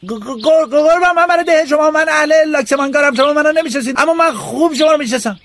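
A man's voice: very high-pitched, fairly bright tone, no echo, pitched above the performer's own voice. A man voicing a desperate young village man who pleads and stammers while begging for a job. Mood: pleading.

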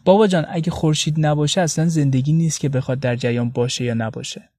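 A man's voice: fairly high pitch, fairly even intonation, warm tone. A man reading scripted, intimate prose expressively, with a tender and wistful delivery. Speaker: podcast narrator. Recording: roomy echo, faint music behind.

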